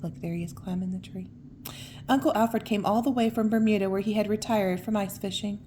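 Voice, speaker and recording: A woman's voice: mid-range voice, fairly even intonation, fairly quick, warm tone. Woman reading a storybook, noisy recording. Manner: folksy, cooing remarks on the pictures. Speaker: storyteller reading picture books for children. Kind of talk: bedtime read-aloud with casual asides.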